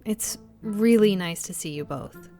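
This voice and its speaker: A woman's voice: medium pitch, unhurried, mellow, faint music behind, lightly acted. Actress as guarded, weary young woman, natural mid-range voice, audio drama. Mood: uneasy, reassuring.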